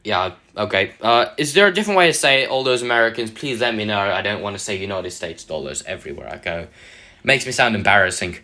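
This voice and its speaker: A man with a half-lost, hoarse voice, rambling through a casual mobile game tutorial with lots of self-corrections. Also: lowish voice, expressive, fast, sharp tone. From United Kingdom. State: partly lost voice, throat-clearing. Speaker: gaming channel host.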